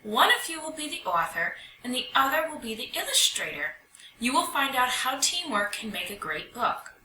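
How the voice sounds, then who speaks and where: Kindly storybook librarian, played by a woman reader, bright, teacherly, lively, echoey room. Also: high-pitched, moderate pace, heavily acted. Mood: instructing. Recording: roomy echo, distant mic, faint noise.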